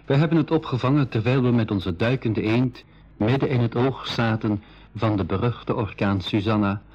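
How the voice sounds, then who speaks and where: A man narrating an adventure to young listeners in measured, scripted school radio style. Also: mid-range voice, a little mellow, breathy edge, close to an everyday voice. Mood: measured, dramatic. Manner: measured storytelling. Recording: dull old radio recording.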